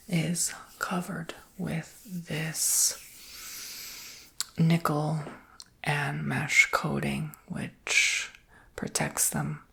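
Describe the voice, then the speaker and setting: An American man performs an improvised ASMR sales roleplay in a whisper. His voice is extremely breathy and husky, with heavy crackle in it. He explains things softly, in an unhurried and reassuring way. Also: fairly high pitch.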